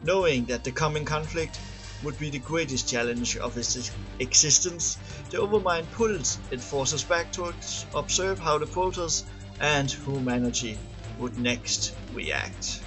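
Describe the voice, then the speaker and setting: Higher-voiced man reading a story aloud. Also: music in the background. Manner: halting on long words, stumbling and re-reading. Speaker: amateur narrator. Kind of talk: scripted narration, reading game lore aloud.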